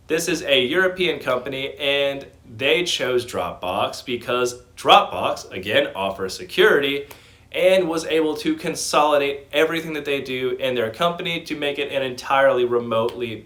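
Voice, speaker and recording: A man's voice: mid-range voice, bright, forceful tone. Enthusiastic man giving informal stock commentary. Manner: enthusiastic, emphatic. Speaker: host of a stock commentary channel.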